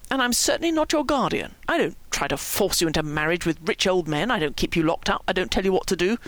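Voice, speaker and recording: A woman's voice: fairly low pitch, animated, no echo. Woman playing a pompous, exasperated young man. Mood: wounded dignity. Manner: pompous, measured, quiet. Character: dignified, exasperated older young man, wounded pride.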